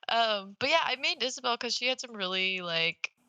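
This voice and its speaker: A woman's voice: on the high side, expressive, a little fast, sharp tone, no echo. A young adult woman co-hosting a podcast, chatting informally and enthusiastically about games, with casual swearing. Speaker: podcast co-host. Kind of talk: casual gaming chat.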